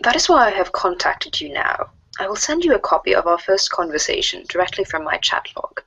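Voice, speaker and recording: A woman playing a formal, stiffly scheming female alien troll in a comic readers theater, speaking in wordy, deliberate sentences. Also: medium pitch, sing-song, creaky, fairly bright tone, slightly rough, highs cut off, full character voice. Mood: matter-of-fact, scheming. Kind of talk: readers theater of web comic chat logs.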